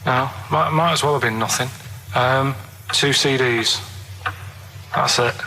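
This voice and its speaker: A man's voice: medium pitch, a little slow, sharp tone, slight background noise, low-quality recording. A man chatting casually as a radio co-host, downbeat, grumpy and matter-of-fact.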